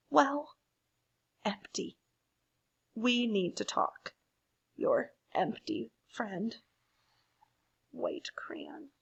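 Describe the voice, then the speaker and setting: A forlorn storybook crayon, played by a woman, pleads to be noticed. The voice is plaintive and fairly high, rising and falling widely. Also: a bit bright, muffled recording, exaggerated voice.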